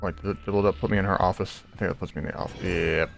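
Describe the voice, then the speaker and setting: A woman's voice: a bass voice, fairly even intonation, quick pace, creaky, over music. A woman giving casual gameplay commentary as a video creator, sweary and sardonic.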